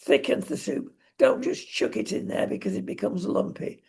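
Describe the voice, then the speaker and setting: A male talk show host with a very raspy, heavily creaking voice. He speaks in short, emphatic phrases and readily hands out opinions and advice. His pitch sits high for a man. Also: moderate pace, darkish tone. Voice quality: husky, gravelly. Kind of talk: opinionated casual discussion.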